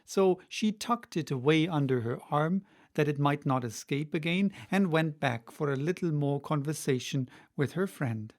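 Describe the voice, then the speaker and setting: Higher-pitched man reading a storybook. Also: expressive, normal speed, few pauses. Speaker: audiobook narrator. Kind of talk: storybook narration, read aloud.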